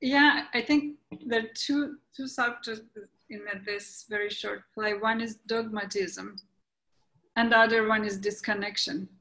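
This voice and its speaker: A woman's voice: on the high side, steady tone, unhurried, pushed and bright, poor audio. A woman answering questions as a playwright in a casual video call discussion. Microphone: video call microphone.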